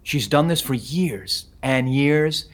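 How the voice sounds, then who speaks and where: A man's voice: medium pitch, lively intonation, slow. An actor playing a loyal, earnest leading man in an audio comedy, speaking in a reassuring way. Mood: earnest, defensive. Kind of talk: audio comedy drama acting.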